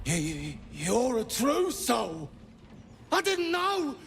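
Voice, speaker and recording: A man's voice: very high voice, huge pitch swings, takes it slowly, gravelly, fairly bright tone, slight background noise, heavily acted. A man playing a cowed, frightened male underling who stammers deferentially in a fantasy video game. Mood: cowed, panicky. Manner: stammering, grovelling.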